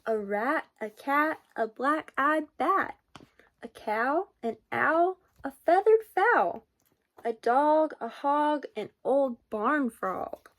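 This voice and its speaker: A woman reading a children's picture book aloud in a deliberate, expressive way, with sing-song emphasis. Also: sits high. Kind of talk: children's storybook read-aloud.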